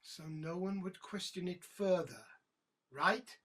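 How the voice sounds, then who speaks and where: Blunt, forceful British police boss, husky. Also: female voice, on the low side, takes it slowly, heavily acted. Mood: sceptical. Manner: curt, sardonic. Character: blunt, sceptical, hot-tempered senior woman police officer. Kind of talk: British audio drama acting.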